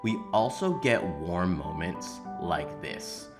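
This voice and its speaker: A man's voice: low voice, expressive, slow pace, over loud music. A man delivering opinionated video essay commentary on games in an emphatic, informal way. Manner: emphatic, informal, occasional swearing. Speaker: video game commentary creator.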